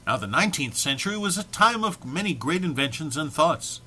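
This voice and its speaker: A man's voice: a little high, sing-song, a little fast, pushed and bright, slightly rough. A man giving a dramatic comic reading of a humorous text, mock-serious in delivery with dry muttered asides. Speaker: reader.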